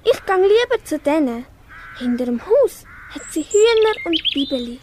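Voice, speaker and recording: A woman's voice: very high voice, big pitch swings. A young girl with a Swiss German accent playing a small, lonely, curious kitten in an amateur school radio play, meowing and earnest. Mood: wistful, annoyed. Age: child or young teen. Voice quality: childlike, meowing. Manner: slow, earnest story-acting with meows. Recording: faint background noise.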